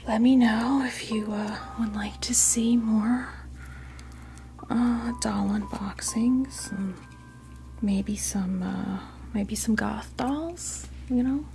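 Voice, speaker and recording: A woman hosting an ASMR doll unboxing, chatting casually and softly to camera, gushing over small details in an unhurried way. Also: on the high side, vocal fry, soft background music.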